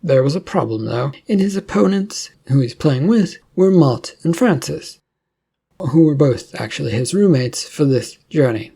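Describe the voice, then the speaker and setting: Gravelly, dark-voiced male story narrator. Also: fairly high pitch, expressive. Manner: plain, slightly halting read with restarts. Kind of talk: reading a serial sci-fi story.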